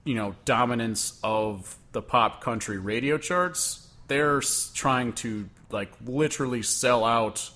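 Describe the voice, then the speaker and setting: A man's voice: medium pitch, takes it slowly, few pauses, pushed and bright. A young man co-hosting a casual podcast, talking loosely and padding his points with many "like" fillers. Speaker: podcast co-host. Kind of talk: casual chat.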